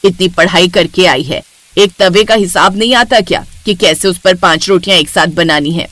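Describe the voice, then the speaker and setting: A woman voicing a bossy, sharp-tongued middle-aged mother-in-law who scolds and demands, in a cartoon story. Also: fairly low pitch, normal speed, no echo. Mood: scolding.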